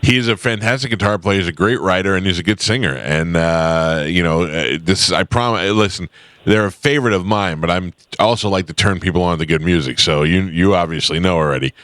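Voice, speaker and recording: A man with a very low voice hosts a morning radio show, bantering and telling funny stories. His voice is close and dry on a studio microphone. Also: brisk, a bit bright, dry recording. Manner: joking, storytelling.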